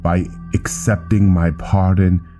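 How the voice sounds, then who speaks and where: A man playing a commanding, haughty elder vampire knight in a fantasy game, speaking in a formal, deliberate way. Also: deep voice, little pitch movement, warm tone, faint music behind. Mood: stern, magnanimous. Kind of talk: fantasy game character dialogue.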